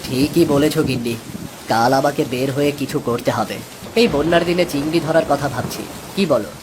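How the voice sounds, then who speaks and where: A man's voice: mid-range voice, brisk, noisy background, natural voice. A man playing a patient, pious village father and poor farmer in a cartoon, with a homely manner. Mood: reassuring.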